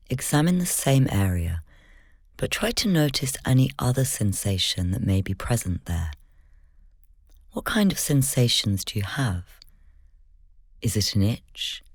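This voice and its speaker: A meditation guide speaks at a medium pitch in a gentle, measured way. The delivery is soft and instructional, with breathy, whispery touches. The recording is close and dry, free of room sound. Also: no room sound.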